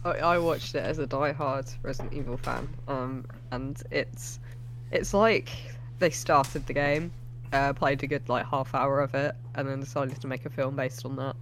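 A man's voice: medium pitch, steady tone, moderate pace, fairly bright tone, slight background noise. A man co-hosting a casual film reaction chat with a wry manner. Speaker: co-host.

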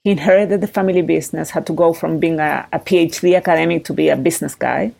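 A woman's voice: low voice, fairly even intonation, fast talker, gravelly, warm tone. A woman in her thirties with a Chilean accent, a startup founder talking animatedly in an interview, full of fillers and rising tags. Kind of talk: interview conversation.

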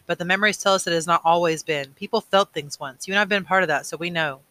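A man voicing a grave, weary male elder mentor in a storybook, measured and earnest. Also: high voice, bright, forceful tone. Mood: earnest, reflective.

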